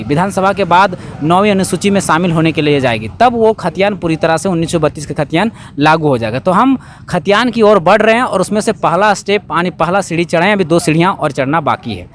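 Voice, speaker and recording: A man's voice: high-pitched, a little hiss or hum. A man from Jharkhand with a Jharkhandi accent answers interview questions as an activist, explaining emphatically with repeated phrases and rhetorical questions. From Jharkhand, India.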